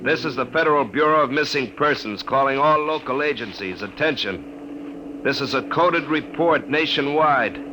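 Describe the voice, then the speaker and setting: An actor playing an excitable male radio operator in a code room, brash and slangy, in 1950s radio drama style. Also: medium pitch, moderate pace, natural voice. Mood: official. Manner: brash, slangy, easily rattled. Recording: noisy 1950 radio broadcast.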